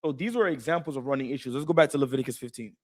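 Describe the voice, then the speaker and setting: A man co-hosting a Bible study talk, explaining in a casual, animated way and stumbling over words at times. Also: medium pitch, very fast. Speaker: co-host teaching scripture.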